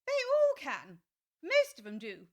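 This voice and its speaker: An actress playing a bad-tempered noble Duchess who talks bluntly and rudely, with sudden violent outbursts. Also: female voice, very high voice, huge pitch swings, slow, full character voice. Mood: curt rude. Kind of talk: audiobook drama acting.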